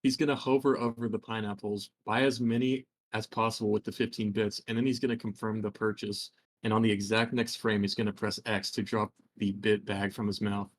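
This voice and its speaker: A man's voice: on the low side, little pitch movement, a little fast, a little hiss or hum. A man reading lines in a group fanfic reading, explaining a game trick step by step. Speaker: co-reader.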